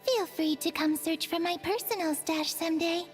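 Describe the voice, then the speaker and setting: Childlike female angel, extremely high voice, fretful, blunt, video game character, reverberant, soft music. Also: moderate pace. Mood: earnest. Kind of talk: English video game voice acting.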